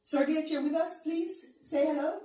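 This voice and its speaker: A woman moderating a formal online panel, speaking in a halting, measured way. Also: high-pitched, steady tone, darkish tone. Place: indoor room on an online call. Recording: very echoey, distant from the mic.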